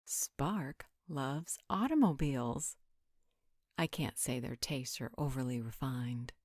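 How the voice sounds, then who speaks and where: A woman's voice: a bass voice, lively intonation, slow, a little mellow, no echo, full character voice. A woman playing a haughty, flirtatious vampire with a dry, sarcastic manner in a roleplay audio drama. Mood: sardonic, dry.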